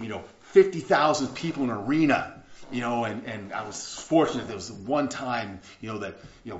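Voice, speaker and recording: A man preaching a sermon as pastor, chatty and animated, often restarting his sentences. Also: mid-range voice. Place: church sanctuary. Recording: echoey church room, slightly dull sound.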